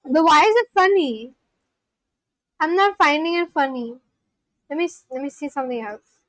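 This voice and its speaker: A woman's voice: high voice, lively intonation, moderate pace, no echo. A woman from India, chatting casually on a live stream at home and answering her chat aloud. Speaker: language-learning livestreamer. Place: at home at a laptop.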